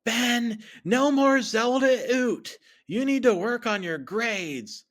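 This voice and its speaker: A man voicing a strict, angry mother who scolds loudly in a comic story. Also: extremely high pitch, slow pace, pitched above the performer's own voice, exaggerated voice. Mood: stern.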